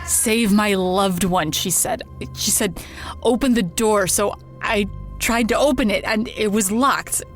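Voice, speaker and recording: Actress doing a haunted anxious woman in a radio play, slow faltering telling, music underneath. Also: medium pitch, close to an everyday voice. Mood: frightened, urgent. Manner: halting, repetitive, faltering. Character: haunted woman anxiously confiding a ghost story. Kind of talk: audio drama monologue.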